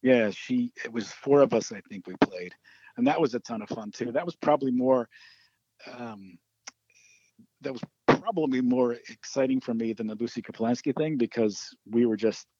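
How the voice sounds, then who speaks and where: A man's voice: medium pitch, normal speed, warm tone, husky, no room sound, muffled recording. A man, a singer-songwriter guest, chatting casually in an interview, often stammering and restarting. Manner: hesitant, stammers and laughs often.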